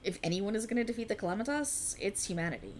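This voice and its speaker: A woman voicing a young alien woman in an ASMR roleplay, an earnest, teasing friend hiding as a human, who swears bluntly and talks softly and close. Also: mid-range voice, quick pace, a little hiss or hum, close to an everyday voice. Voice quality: soft, intimate and lively, with blunt teasing and swearing.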